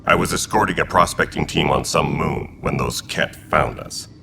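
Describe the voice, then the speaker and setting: A man's voice: extremely low pitch, normal speed, vocal fry, heavy background noise. An actor playing a frank male alien militia commander from an old military family.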